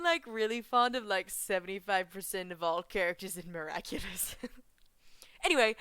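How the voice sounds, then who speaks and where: Giggly, bright-voiced young woman fan vlogger. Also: on the high side, clear, resonant tone, no room sound. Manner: chatty, giggly, punning, jumps off track. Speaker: vlogger reviewing a cartoon episode. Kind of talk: excited fan reaction vlog.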